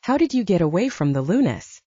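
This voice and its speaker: A woman's voice: on the low side, big pitch swings, a little fast, mellow, breathy edge, no echo, lo-fi sound. A woman voicing a tearful middle-aged mother wolf in an audiobook, overwhelmed and fussing, with a choked delivery. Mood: anxious, fussing.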